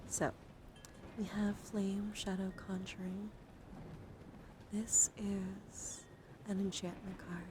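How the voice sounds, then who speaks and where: A woman hosting an ASMR livestream, drifting between whispers and soft, playful, chatty speech as she talks to viewers. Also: medium pitch, flat delivery, slow, long pauses. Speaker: livestream host. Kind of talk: ASMR card showcase with casual chat to viewers. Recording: clearly noisy background.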